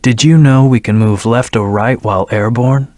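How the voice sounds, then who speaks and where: A man's voice: medium pitch, moderate pace, a little mellow, no echo, clean studio sound, lightly acted. A man voicing a brooding, terse hedgehog antihero in a video game, with a serious, clipped, commanding delivery. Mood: firm, intense. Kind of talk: video game character voice lines.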